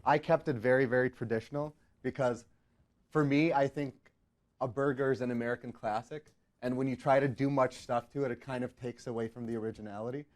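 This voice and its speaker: Male home cook of thirty, mid-pitched, talking casually as a cooking contestant. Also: steady tone, fairly quick, darkish tone. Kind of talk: casual group discussion.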